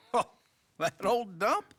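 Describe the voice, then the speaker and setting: An actor playing a shrewd, wry, patronizing bank manager in a western game, with a creaky American voice and a skeptical, businesslike manner. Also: male voice, high-pitched, big pitch swings, extremely slow, fairly bright tone, heavily acted. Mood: skeptical, amused. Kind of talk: cinematic western game acting.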